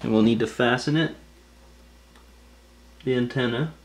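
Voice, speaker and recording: A man's voice: medium pitch, unhurried, slight background noise. A man giving casual, step-by-step hands-on instructions in a plain-spoken, informal way. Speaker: repair tutorial host.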